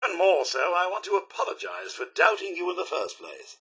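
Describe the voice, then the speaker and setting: A man playing a fussy, posh and polite robot butler in a video game, with a British accent, raspy voice and formal, florid delivery. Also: high-pitched, big pitch swings, brisk, fairly bright tone, heavily acted. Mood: earnest, deferential. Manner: formal, florid, servile diction with dry wit. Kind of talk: theatrical video game character acting.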